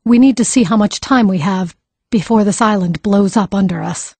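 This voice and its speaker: An actress playing a learned healer-mage, measured, brisk and authoritative, in an audiobook. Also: female voice, mid-range voice, darkish tone, no room sound, close to an everyday voice. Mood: brisk concern.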